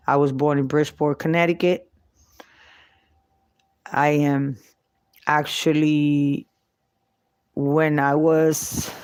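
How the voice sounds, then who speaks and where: Slow, halting middle-aged New York Puerto Rican woman. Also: low voice, dry recording. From Puerto Rico and New York. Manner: halting, with long pauses between short phrases. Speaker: vlogger telling her life story. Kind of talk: unscripted personal storytelling.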